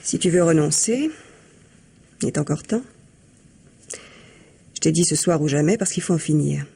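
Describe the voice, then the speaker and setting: An actress playing a calculating, controlled mistress who coaxes and instructs, in 1950s French radio mystery style. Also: female voice, low-pitched, expressive, moderate pace, creaky, mellow, breathy edge, exaggerated voice. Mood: coaxing, reassuring. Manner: coaxing, instructing, persuasive. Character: calculating, controlled mistress and office collaborator plotting crime.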